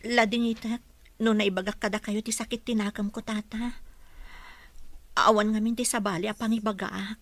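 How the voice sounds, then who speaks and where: A woman acts as a struggling young mother in an audio drama. She has a medium-pitched voice, worried and pleading, recorded dry and close. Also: level tone, normal speed, pauses often, no room sound, natural voice. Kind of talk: Ilocano radio drama acting.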